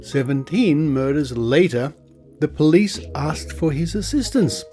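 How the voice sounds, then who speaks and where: Deliberate Australian male podcast host over music. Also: fairly high pitch, expressive, dark tone. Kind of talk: scripted podcast narration with asides. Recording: music behind the voice.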